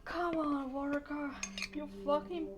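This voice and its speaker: A woman's voice: sits high, steady tone, slow pace, background noise. A woman gamer giving casual, sweary reactions and muttered asides while streaming a game. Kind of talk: casual gameplay reaction commentary. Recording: loud game music behind.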